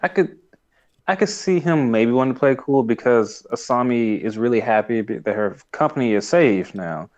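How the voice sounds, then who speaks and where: A man's voice: medium pitch, lively intonation, normal speed, darkish tone, lo-fi sound. A man co-hosting a podcast, recapping a show casually and somewhat hesitantly. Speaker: podcast co-host. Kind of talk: casual episode recap chat.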